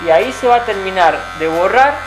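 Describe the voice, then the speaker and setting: A young man, a barber calmly explaining a haircut step by step in a small barbershop. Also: high-pitched, brisk, noisy background. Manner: calm, instructive, repeats steps patiently. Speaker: barber instructor. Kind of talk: step-by-step tutorial narration. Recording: roomy sound with music behind. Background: background music and clipper buzz.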